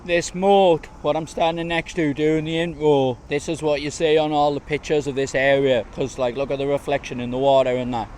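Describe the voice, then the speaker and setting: Male vlogger, slightly hoarse, casual and slangy, on a handheld camera outdoors with faint insect ambience behind him. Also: a little high, flat delivery, moderate pace, few pauses, a little mellow, a little hiss or hum. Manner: chatty, slangy, easygoing. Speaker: travel vlogger. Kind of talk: casual walking vlog narration. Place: outdoors in large city gardens, walking in heat.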